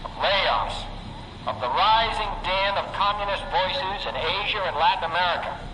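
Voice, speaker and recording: A man's voice: high-pitched, expressive. Echoey presidential speech delivered by a man. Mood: grave, emphatic. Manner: oratorical, emphatic, measured phrases. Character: earnest male American president orating on television. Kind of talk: 1960s political speech. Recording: echoey distant broadcast speech with noise.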